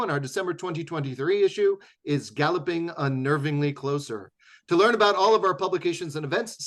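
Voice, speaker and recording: Higher-voiced man, formal theatrical announcer. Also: fairly bright tone. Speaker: announcer and host of a live radio drama show. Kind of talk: scripted closing announcements, old-time radio show manner.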